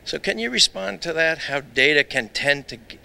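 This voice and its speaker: A man's voice: on the high side, lively intonation, normal speed, gravelly, bright, forceful tone, clean tone. A man with a Brooklyn accent, an academic in the audience, who puts a pointed and wry challenge to the speaker. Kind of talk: challenging question from the floor.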